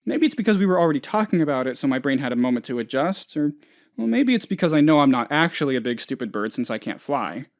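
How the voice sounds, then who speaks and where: An actor playing a naive, anxious, scatterbrained office boss who rambles and gets sidetracked, in a video game. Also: male voice, mid-range voice, big pitch swings, quick pace. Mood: musing. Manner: rambling, absent-minded, easily sidetracked. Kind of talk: video game character voice lines.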